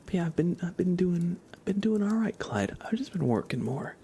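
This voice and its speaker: A man chatting softly as an ASMR livestream host, in a gentle hushed voice that often drops into whispers. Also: sits high, very animated, moderate pace, slight background noise. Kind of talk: soft-spoken ASMR livestream chat.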